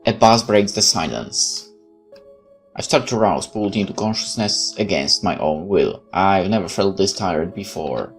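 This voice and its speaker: A man's voice: low-pitched, lively intonation, measured pace, soft background music. A man hosting a let's play, reading a visual novel aloud and adding casual off-the-cuff commentary. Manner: off-the-cuff remarks between read passages.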